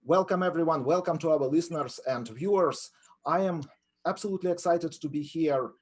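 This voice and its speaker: A man's voice: a little high, brisk, husky. A man with a Ukrainian accent presenting an academic webinar as a professor, emphatic and repeating phrases for effect.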